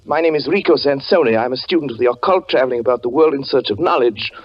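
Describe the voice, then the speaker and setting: Man acting a suave sinister gentleman, muffled old radio. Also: mid-range voice, fast, lightly acted. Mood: courteous, smooth. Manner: smooth, courtly, over-polite. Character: suave, subtle gentleman occultist with menacing charm. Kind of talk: 1940s radio drama acting. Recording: muffled 1940s radio recording with music.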